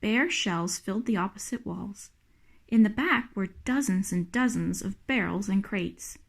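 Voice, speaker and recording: A woman reads a children's picture book aloud. Her narration is expressive and sits at an ordinary middle pitch, in the manner of a storybook read-aloud. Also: unhurried, creaky. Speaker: storybook reader.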